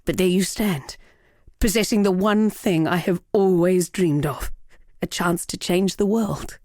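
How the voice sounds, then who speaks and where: An actress playing a proud, sardonic villainess and world-weary killer in a video game, speaking in a theatrical, measured way. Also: female voice, on the low side, darkish tone, no room sound, clean studio sound. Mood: weary, resigned. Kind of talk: dramatic scripted game dialogue.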